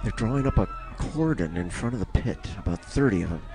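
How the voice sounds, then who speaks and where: A man's voice: fairly low pitch, very animated, music in the background. An actor playing a panicked field reporter in a 1930s radio drama, breathless and stammering as he describes an alien attack. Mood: tense, hushed. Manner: breathless, halting, stammering delivery.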